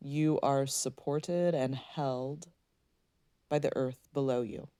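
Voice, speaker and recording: A woman leading a guided meditation, speaking in a soothing, gently instructing way with unhurried pauses. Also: very deep voice, steady tone, clean tone, no room sound, studio quality.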